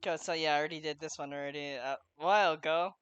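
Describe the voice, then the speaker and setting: A woman's voice: sits low, fairly even intonation, quick pace, fairly bright tone, muffled recording, slight background noise. A woman giving casual gameplay commentary in an offhand way, partly muttering to herself. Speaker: gaming streamer. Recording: game music behind the voice.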